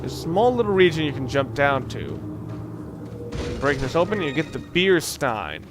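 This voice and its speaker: A man giving casual, halting gameplay commentary as a let's play host, rambling and thinking aloud as he plays. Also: fairly high pitch, big pitch swings, music in the background.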